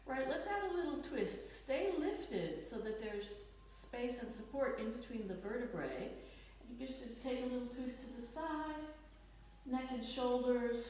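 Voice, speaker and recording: Gentle woman instructor with slight Dutch accent. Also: on the high side, far from the mic, a little hiss or hum. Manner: gentle, encouraging cues. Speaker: fitness instructor. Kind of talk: guided exercise class. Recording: echoey room, voice off-mic.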